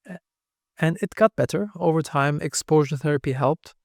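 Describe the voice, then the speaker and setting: Candid German-accented founder, slightly high gravelly voice, dry close mic. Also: male voice, moderate pace, no room sound. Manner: candid, storytelling, self-deprecating. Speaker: podcast guest, founder. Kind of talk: casual interview talk.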